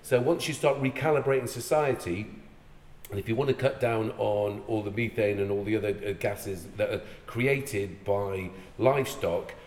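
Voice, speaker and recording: A man originally from Ireland, hosting a casual podcast chat. He talks forcefully, cuts in often and mixes jokes with strong opinions. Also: on the low side, moderate pace. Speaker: podcast host. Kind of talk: casual conversation. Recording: echoey room with long reverb.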